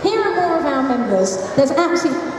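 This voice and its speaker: A woman's voice: high voice, big pitch swings, fast. Woman presenting in an echoey hall. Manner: chatty, self-deprecating, joking asides. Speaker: founder of a support group for single mothers. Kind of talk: conference presentation. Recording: echoey hall, mic heard from a distance, noisy.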